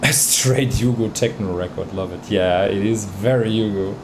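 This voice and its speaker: A man's voice: on the low side, steady tone, moderate pace, background noise. A man whose first language is Bosnian, hosting a music livestream in casual chat, hesitant and full of fillers and restarts. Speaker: livestream host reviewing music tracks.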